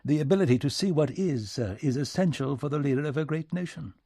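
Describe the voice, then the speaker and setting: A man's voice: on the high side, quick pace, creaky, warm tone, dry recording, clean studio sound, voice pushed up. A man voicing a courteous aristocratic diplomat in an audiobook, speaking formally and stiffly. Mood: smooth, conciliatory.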